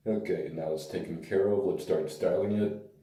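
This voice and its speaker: A man with a hoarse, worn-out voice, casually reviewing a hair product in a relaxed, rambling way with hesitant fillers. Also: sits low, moderate pace. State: hoarse, lost voice. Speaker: pomade reviewer. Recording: echoey room, mic at a distance.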